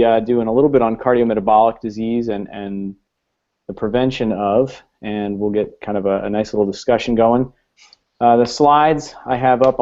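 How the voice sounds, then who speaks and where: A man's voice: fairly low pitch, mellow. A man hosting a live online panel discussion, talking loosely with frequent fillers. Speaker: host. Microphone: video call. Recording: slightly muffled video-call audio.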